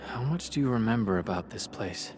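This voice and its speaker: Man acting steady, low-voiced game companion. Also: a little fast, lightly acted. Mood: grave. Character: steady, reassuring male companion in grim journey. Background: background music.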